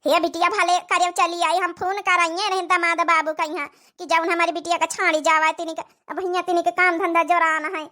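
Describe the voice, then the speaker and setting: A woman's voice: very high voice, little pitch movement, a little fast, few pauses, bright, forceful tone. A woman voicing a fretful, talkative cartoon village mother-in-law with a rural Awadhi accent and dramatic, repetitive chatter. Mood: bustling. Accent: Awadhi, rural north India. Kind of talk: cartoon comedy dialogue.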